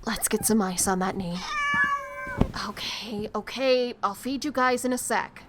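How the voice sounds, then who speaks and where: A woman's voice: mid-range voice, unhurried, very noisy, close to an everyday voice. Woman acting as tough, weary big-sister type. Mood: scolding, protective. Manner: blunt, weary. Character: tough, protective, world-weary young woman of the slums. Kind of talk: audio drama acting.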